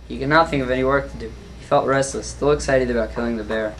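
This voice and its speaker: A woman's voice: rumbling low, fairly even intonation, fast. A woman narrating a story in a plain reading style. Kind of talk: student narration read aloud. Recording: noisy amateur recording.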